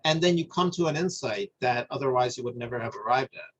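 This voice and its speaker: Husky-voiced middle-aged man, halting. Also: medium pitch, expressive, dull audio. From New Jersey, USA. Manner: thoughtful, halting, repeats words while searching. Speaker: guest. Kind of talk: reflective conversation.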